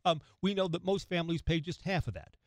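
A middle-aged American man hosting morning radio, giving opinion commentary in a conversational, emphatic way with pointed pauses. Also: fairly high pitch, no echo, clean studio sound. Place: close, dry radio studio.

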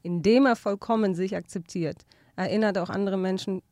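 A woman's voice: mid-range voice, normal speed, darkish tone, no echo, studio quality. A woman interviewing musicians, chatty and laughing often as she asks her questions. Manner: chatty, laughs often, switches between German and English.

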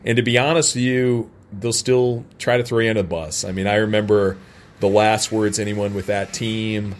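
A man's voice: fairly low pitch, fairly even intonation, moderate pace. An American man from St. Louis, a sports announcer, talking candidly and casually as an interview guest. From St. Louis, United States. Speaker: interview guest, soccer radio play-by-play announcer. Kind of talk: casual interview, personal anecdotes. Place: radio booth at a stadium. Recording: clearly audible background ambience.

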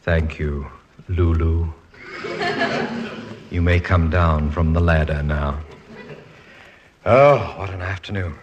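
An actor playing an urbane, dryly witty college president, courteous and a little weary, in a 1940s radio comedy. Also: male voice, sits low, slow pace, pauses often, lo-fi sound, a loud crowd. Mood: wry. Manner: courteous, dry, hesitant at times. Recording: noisy old 1940s radio recording.

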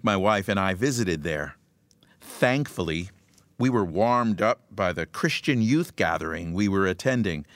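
A man preaching a scripted devotional message in a measured, emphatic way, leaning on rhythmic repetition. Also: medium pitch, a bit bright, no echo.

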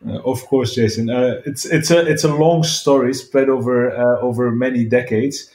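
A man's voice: medium pitch, steady tone, moderate pace, a little mellow. A middle-aged man from Belgium, a guest in a podcast interview, talking with many restarts and fillers. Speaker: guest, sports event founder. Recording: roomy echo.

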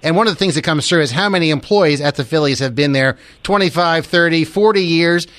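A man's voice: a little high, a little fast, a bit bright, no room sound. An American man hosting a radio show, interviewing a guest in a conversational style.

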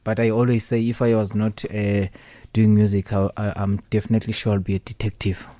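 Mellow-voiced man. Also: on the low side, little pitch movement, a little fast, no room sound. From Eastern Cape, South Africa. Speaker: musician guest. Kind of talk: casual radio interview.

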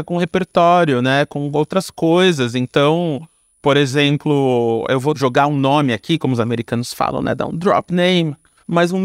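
A man's voice: a little high, clean tone, dry recording, clean studio sound. A man with a Brazilian accent giving casual interview answers, speaking informally with slang and frequent tag questions. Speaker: guest, screenwriter and filmmaker.

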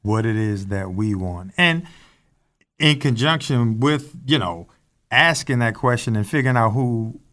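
A man co-hosting a talk show, introducing the topic and guest in a measured, somewhat formal way. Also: medium pitch, animated, a bit bright. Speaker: co-host.

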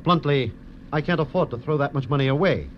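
A man's voice: fairly high pitch, expressive, fairly quick, lightly acted. An actor playing an anxious, protective wealthy man in 1940s radio drama, earnest and urgent. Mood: pained, protective. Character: anxious wealthy protective husband, male blackmail victim in wheelchair. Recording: muffled old radio recording, faint noise.

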